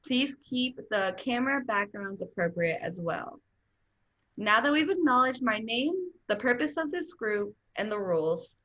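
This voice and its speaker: A woman's voice: on the high side, low-quality recording. A young woman moderating a focus group over a video call, laying out rules and asking questions in an organized way. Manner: organized, reads rules aloud in a steady tone.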